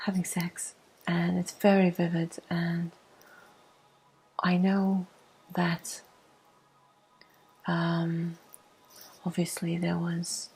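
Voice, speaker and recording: A woman telling a personal story as an ASMR host in a breathy, hushed, mostly whispered voice with slow pauses. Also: lowish voice, level tone, soft background music, rough recording.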